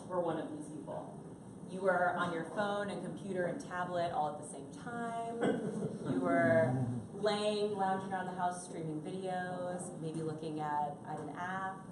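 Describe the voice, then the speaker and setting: Loud, projecting woman presenter, far off. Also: lowish voice. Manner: upbeat, chatty, engages the audience. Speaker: conference presenter. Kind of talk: live workshop talk without a mic. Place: conference room with an audience. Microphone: no personal mic, recorded from a distance. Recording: echoey room from far off, noisy.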